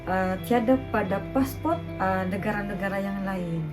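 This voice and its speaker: A woman with a Malaysian accent hosting a casual reaction video in a deliberate way, repeating phrases for emphasis, with music behind her. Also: mid-range voice. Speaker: reaction channel host.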